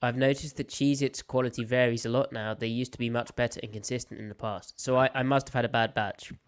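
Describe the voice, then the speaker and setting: Young British male podcast host, smooth-voiced, a bit snuffly, playful and opinionated. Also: mid-range voice, fairly even intonation, fast talker. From United Kingdom. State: slight head cold, snuffly. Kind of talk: casual live podcast chat.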